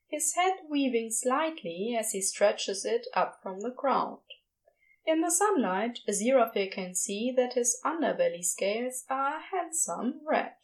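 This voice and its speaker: A woman narrates scripted fantasy fiction. Her voice sits on the high side. Her intonation swings widely and keeps the reading animated. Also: low-quality recording.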